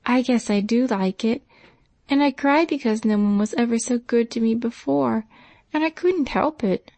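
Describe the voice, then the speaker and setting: A woman's voice: fairly high pitch, animated, unhurried, darkish tone, no room sound, voice pushed up. A woman voicing a humble, grateful poor servant girl with plain, homely speech. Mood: earnest.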